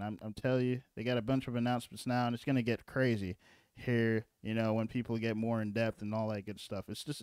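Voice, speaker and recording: A man presenting a casual software walkthrough, informal and often checking in with the listener. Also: medium pitch, clean tone, no echo, clean studio sound. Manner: informal, repeatedly checking in with "okay" and "all right". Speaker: tutorial presenter.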